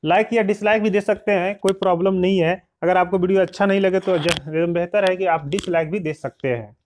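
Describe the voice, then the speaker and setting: A man's voice: high-pitched, steady tone, brisk, a little hiss or hum. A man hosts an informal online news update. He addresses viewers directly in an explanatory way, sometimes slipping into reading aloud. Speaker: YouTube channel host.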